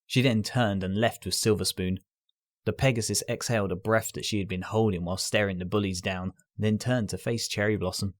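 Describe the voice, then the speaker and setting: A man's voice: lowish voice, fairly even intonation, a little fast. A man reading scripted story narration as the narrator. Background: music.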